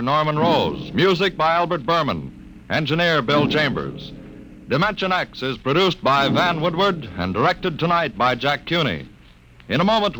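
A man's voice: on the high side, pushed and bright. A man announcing formally in 1950s radio style, reading out credits as the show's announcer. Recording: noisy old radio transcription.

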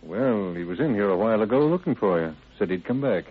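A man playing a brisk, matter-of-fact county sheriff in a 1930s radio drama. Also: on the low side, animated, breathy edge. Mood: businesslike. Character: businesslike male county sheriff running his office. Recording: tinny, narrow old radio recording.

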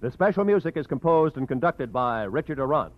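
A man's voice: medium pitch, expressive, fairly quick. A man announcing credits and promotions in formal 1940s radio style. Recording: muffled old radio broadcast with music.

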